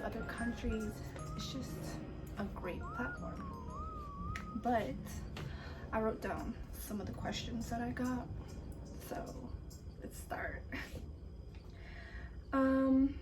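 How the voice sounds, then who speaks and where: A woman in her twenties from California, chatting casually to camera in a sit-down Q&A as a vlogger, a little self-conscious. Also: a little high. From San Diego, California. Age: 20s. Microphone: phone camera.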